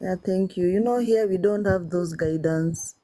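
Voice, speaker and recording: A woman's voice: on the low side, a little slow, dark tone. A woman from Kenya calling in, speaking politely and hesitantly with many restarts. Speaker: caller. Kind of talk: call-in chat.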